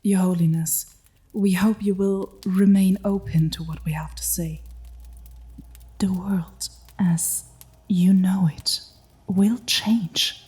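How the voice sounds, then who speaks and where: A woman acts a gentle, earnest character in an audio drama. She speaks very slowly in a soft, warm tone at a middle pitch. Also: fairly even intonation, close to an everyday voice.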